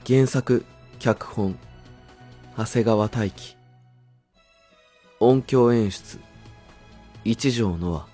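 A man's voice: on the low side, extremely slow, long pauses, mellow, soft background music. A man reading scripted credits as the narrator of an audio drama.

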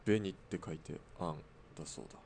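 A man playing a terse, deep-voiced sniper. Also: brisk, darkish tone, a little hiss or hum. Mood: matter-of-fact. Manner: terse, dry, matter-of-fact. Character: stoic, matter-of-fact male sniper, outlaw. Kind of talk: radio drama acting, conversational.

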